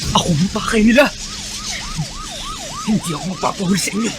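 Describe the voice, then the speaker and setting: Desperate fugitive youth, Filipino radio drama; high, wildly swinging voice, panicked and defiant, music behind. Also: male voice, wildly swooping pitch, a little fast, noisy background. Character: desperate fugitive young man fleeing on a motorcycle.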